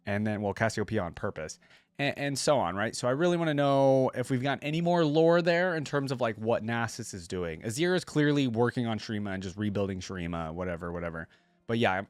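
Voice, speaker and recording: A man's voice: medium pitch, dry recording. A man hosting a reaction video, talking casually in a chatty, rambling and informal way. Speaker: host of a game lore reaction channel. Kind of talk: casual reaction commentary to camera.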